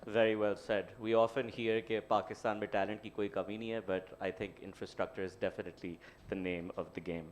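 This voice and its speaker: A man with a Pakistani English accent moderating a panel, speaking briskly and affirming what the panelists say. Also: on the low side, level tone. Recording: faint background noise.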